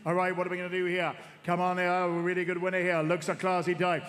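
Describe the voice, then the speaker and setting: A man's voice: high voice, completely flat delivery, fast, fairly bright tone. A man working as an auctioneer at a horse sale, calling bids in a rhythmic, repetitive chant and coaxing the room for more. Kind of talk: rapid bloodstock auction calling. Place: horse sales ring. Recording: long reverb of a sale ring.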